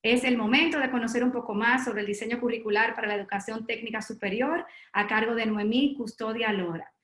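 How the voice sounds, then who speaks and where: Webinar host: woman, high-ish voice, echoey room, formal introductions with pauses. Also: steady tone, fairly bright tone. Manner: reads a long formal bio with filler pauses. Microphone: video call.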